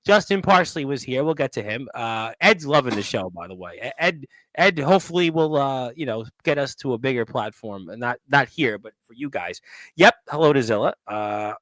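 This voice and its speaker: A man's voice: medium pitch, animated, moderate pace, a bit bright, dry recording, poor audio. A man hosting a live wrestling talk show, chatting casually as he reads out and reacts to viewer comments. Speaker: host of a wrestling radio show.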